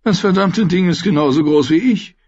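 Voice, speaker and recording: A sardonic dwarf nobleman in a fantasy audiobook. The voice sits well above a normal male pitch and is rough rather than clean. He sounds bitter and wry, with a grumbling edge, on a slightly muffled recording. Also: a little slow, no room sound. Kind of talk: fantasy audiobook character acting.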